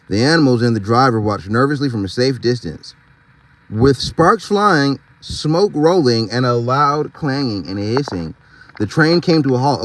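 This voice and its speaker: A man's voice: mid-range voice, poor audio. A man reading a picture book aloud, with informal, slangy, chatty asides along the way.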